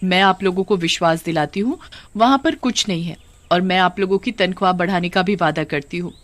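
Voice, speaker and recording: A woman's voice: lowish voice, brisk, dry recording, lightly acted. A woman voicing a persuasive, scheming lady factory owner in a cartoon, coaxing and insistent. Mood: insistent, promising.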